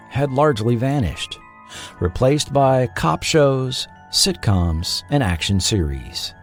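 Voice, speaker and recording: A man hosting a podcast, reading scripted documentary narration with measured, dramatic phrasing. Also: mid-range voice, darkish tone. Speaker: podcast host. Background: faint background music.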